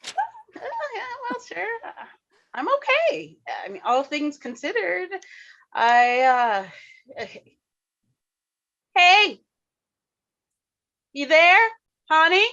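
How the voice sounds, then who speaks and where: A woman's voice: extremely high pitch, big pitch swings, very slow, pauses often, bright, forceful tone, heavily acted. An actress playing a flustered, chatty out-of-work theater woman in a play reading, stammering and repeating herself effusively. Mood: upbeat, hesitant. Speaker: actor in a staged play reading. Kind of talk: naturalistic dramatic dialogue, read online. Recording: dull, lo-fi online call audio.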